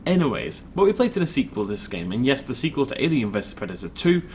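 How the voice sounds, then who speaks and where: A man's voice: medium pitch, big pitch swings, quick pace, a little mellow, slight background noise. A man casually rambling while commenting on his own gameplay, chatty and self-deprecating. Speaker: let's play host.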